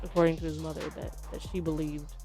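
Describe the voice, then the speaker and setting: Female author interviewee, lowish pitch, measured and hesitant explanations, soft music behind. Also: little pitch movement, poor audio. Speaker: journalist and author being interviewed. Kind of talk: interview answers.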